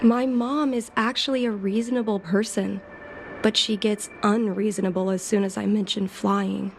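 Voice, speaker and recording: An actress playing an earnest, headstrong young daughter in a video game, polite and insistent. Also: female voice, medium pitch, fairly even intonation, moderate pace, a little hiss or hum, close to an everyday voice. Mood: frustrated, defiant. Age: young adult. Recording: background music under the voice.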